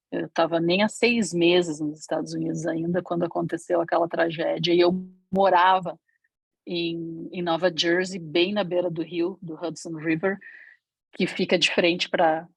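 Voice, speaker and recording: A middle-aged woman from Brazil speaks candidly in an online panel talk, often pausing to find her words. Her voice sits a little low and has a husky edge, and the recording is dry and close. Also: dry recording, low-quality recording. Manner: reflective, candid storytelling with frequent hesitations. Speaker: guest speaker.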